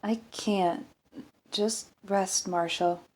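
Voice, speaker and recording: A woman's voice: mid-range voice, steady tone, very slow, darkish tone. A woman voicing a conscientious, anxious female doctor in an audiobook story, earnest and guarded. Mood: guilty, subdued.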